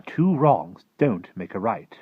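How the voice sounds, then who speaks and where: A man voicing a composed, principled storybook Queen in a regal, firm, measured manner. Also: fairly low pitch, big pitch swings, husky, no echo, phone-quality sound, pitched above the performer's own voice, full character voice.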